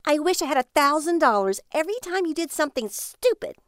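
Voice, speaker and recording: A woman acting an excitable, high-pitched, hot-tempered wife. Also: fast talker, no room sound, clean studio sound. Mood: cold, angry. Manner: exclamatory, emphatic.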